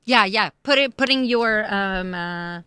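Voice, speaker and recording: A woman's voice: medium pitch, measured pace, pushed and bright, clean tone, no room sound. Encouraging woman, dry close sound. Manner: emphatic, encouraging, acts out little quoted dialogues. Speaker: podcast guest, expat living in Spain. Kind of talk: animated conversational advice.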